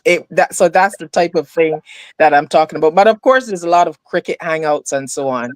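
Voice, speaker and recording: A woman's voice: low-pitched, brisk, no room sound. Hesitant woman on a dry video call. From Barbados. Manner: hesitant, many fillers. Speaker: guest. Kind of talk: casual video call chat. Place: home in the US.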